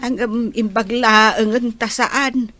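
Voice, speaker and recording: A woman playing a gentle, elderly grandmother in an audio drama, speaking in an unhurried, counselling way. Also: a little high, steady tone. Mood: calm, explaining.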